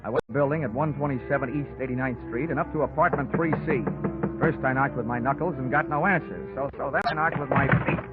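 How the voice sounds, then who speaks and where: Tinny old radio actor as hard-boiled wisecracking investigator. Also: male voice, medium pitch, fast, loud music behind the voice, close to an everyday voice. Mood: wry narrating. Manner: wry, hard-boiled, sardonic. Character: wisecracking, tough male insurance investigator. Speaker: lead investigator and narrator. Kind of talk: 1940s radio detective drama acting and narration. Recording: tinny 1940s radio recording.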